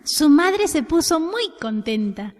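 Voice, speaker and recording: A woman narrating a scripted children's tale in an expressive storytelling style. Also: high voice, slow. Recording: long hall reverb.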